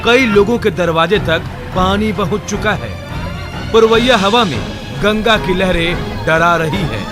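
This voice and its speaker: A man's voice: high-pitched, measured pace. A man reading a scripted television news report as a voice-over. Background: music.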